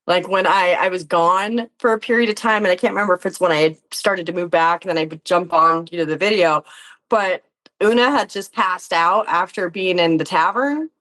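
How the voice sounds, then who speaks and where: A woman's voice: lowish voice, normal speed, a bit bright, low-quality recording. A woman talking casually and informally as an interview guest, thinking aloud as she goes. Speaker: podcast guest, game player, actor and musician.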